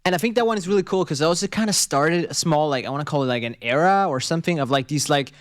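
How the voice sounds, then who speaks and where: A man's voice: a little high, fast, no room sound. A man from Denmark co-hosting a marketing podcast, chatting loosely and searching for words. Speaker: podcast co-host. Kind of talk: casual chat.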